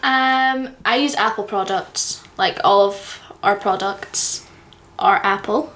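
A woman's voice: medium pitch, takes it slowly, fairly bright tone. A young woman co-hosting a podcast in giggly casual chat, full of fillers. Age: young adult. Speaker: podcast co-host.

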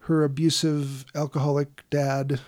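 A man's voice: a little high, level tone, a little slow, a little mellow. A man casually recapping a horror film on a podcast, rambling and wry, with frequent fillers and laughs. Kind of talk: casual comedic chat.

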